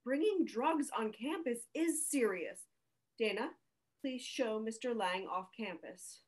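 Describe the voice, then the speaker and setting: A woman's voice: fairly high pitch, expressive, slow, darkish tone. A woman playing a stern middle-aged school principal in a comedy table read, firm and formal. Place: indoor room, video call.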